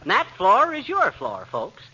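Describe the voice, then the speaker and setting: An actor playing a folksy, boastful, wisecracking husband in excitable 1940s radio comedy style. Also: male voice, very high-pitched, unhurried, full character voice. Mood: mock grand. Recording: muffled vintage radio, faint noise.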